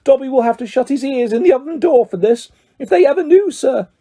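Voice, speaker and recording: Tiny squeaky house elf, super high swoopy voice, grovelling n earnest, storybook. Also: male voice, animated, brisk, mellow, breathy edge, heavily acted. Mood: anxious, servile. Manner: speaks of self in third person, fawning. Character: small servile male house elf, earnest and tearfully grateful.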